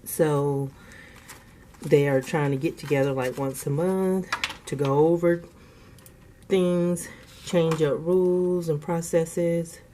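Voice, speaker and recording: A woman's voice: sits low, steady tone, slow, pauses often, mellow, slight background noise. A woman hosting a casual budgeting vlog from home, a bit congested from asthma, chatty and laughing often as she counts cash aloud. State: congested from asthma, clearing throat. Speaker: budgeting channel host.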